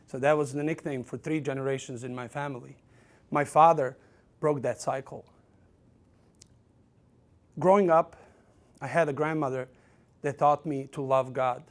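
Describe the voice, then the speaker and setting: A man in his forties from Serbia with a Serbian accent, telling his life story as a motivational speaker, with self-deprecating humor. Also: on the high side. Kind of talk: motivational keynote speech.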